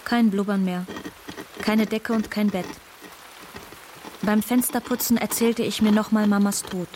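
A woman's voice: mid-range voice, fairly even intonation, a little slow, darkish tone, natural voice. Woman acting grieving eleven-year-old girl amid rainy noise. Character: wounded, determined eleven-year-old daughter mourning her mother. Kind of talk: radio drama monologue. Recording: clearly noisy rain-like background.

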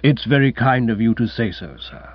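A man playing a calm, deferential manservant in dry sound. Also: medium pitch, dry recording, muffled recording, voice pushed down, natural voice. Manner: measured, formal, understated.

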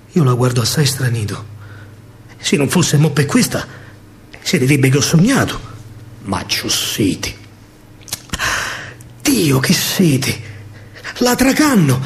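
A man with a Roman accent performing a dramatic, declamatory verse monologue in colloquial speech, as a solo theatre storyteller. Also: a little high.

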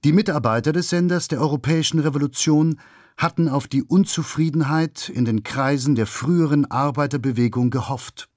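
A man's voice: a little high, brisk, darkish tone, dry recording. Man narrating a documentary, dry close sound.

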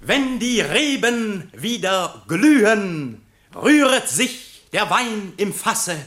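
An actor playing an affected gentleman poet in a radio play, delivering verse in a florid, drawn-out manner. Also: sits high, very animated, heavily acted. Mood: affected rapture.

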